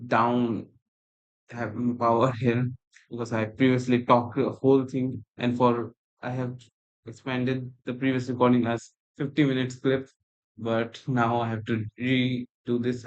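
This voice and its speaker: A man teaching a coding tutorial while screen recording, talking in a halting, rambling way with non-native phrasing, recorded on a laptop's built-in mic. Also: mid-range voice, a little mellow, highs cut off. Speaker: programming tutor. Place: at a computer, indoors.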